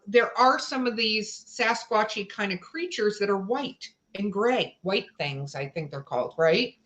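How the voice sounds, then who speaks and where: Woman cohost just chatting, bright kinda high voice, some ums. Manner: chatty, gives advice with fillers. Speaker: podcast co-host. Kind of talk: casual chat.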